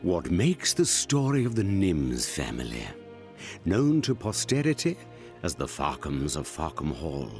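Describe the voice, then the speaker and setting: A man's voice: extremely low pitch, wildly swooping pitch, measured pace, darkish tone, full character voice. An actor playing a vain, elderly English aristocrat narrating his memoirs in a pompous, wordy manner for a radio comedy. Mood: grandiose reminiscing. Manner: pompous, wordy, self-regarding. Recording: narration over music.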